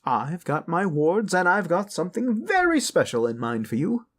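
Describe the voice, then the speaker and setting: A man's voice: sits high, big pitch swings, moderate pace, a bit bright, voice pushed up, full character voice. A man voicing a polite, scheming lich necromancer in a fantasy audio roleplay, genteel yet commanding and wheedling. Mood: sly, coaxing.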